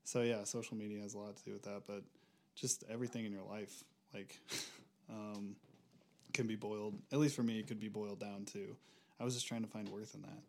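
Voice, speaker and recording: A young man speaking as a podcast guest, reflective and hesitant, working through his thoughts with frequent pauses. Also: fairly low pitch, level tone, gravelly. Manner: reflective, hesitant, many fillers and restarts. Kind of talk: casual conversation.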